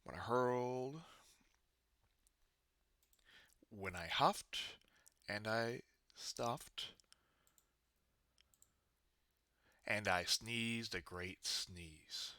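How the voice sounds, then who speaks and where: A storybook wolf, acted out by a man, tells his side with indignant, self-excusing chattiness. The voice is on the low side and bright, with a close, dry sound. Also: slow pace, no room sound. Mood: self-justifying. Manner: conversational, self-justifying, indignant. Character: wronged wolf telling his own excuse-filled version. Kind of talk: storybook read-aloud in first person.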